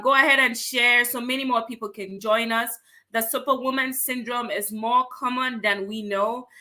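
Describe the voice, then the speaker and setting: A woman's voice: a little high, pushed and bright. A woman from Cameroon hosting an online talk show, welcoming viewers and guests with enthusiastic, upbeat energy. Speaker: host.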